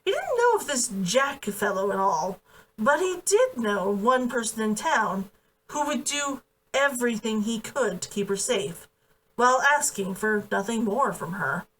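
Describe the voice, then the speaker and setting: A woman giving an expressive read-aloud of a novel as its narrator. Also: fairly high pitch, unhurried, a little hiss or hum. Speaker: author reading own book aloud. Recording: distant from the mic.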